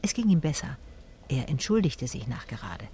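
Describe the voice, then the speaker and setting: A woman's voice: extremely low pitch, very animated, moderate pace, mellow, a little hiss or hum. An actress playing a sober private detective who narrates her case in a radio drama, in laconic, observant short sentences.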